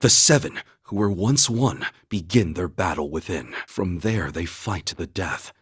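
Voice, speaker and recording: An actor playing a calm, earnest young warrior in a video game, humble and loyal, with a measured, formal way of speaking. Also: male voice, low-pitched, breathy edge, heavily acted. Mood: grave. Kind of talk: scripted video game voice acting, battle cries and story dialogue.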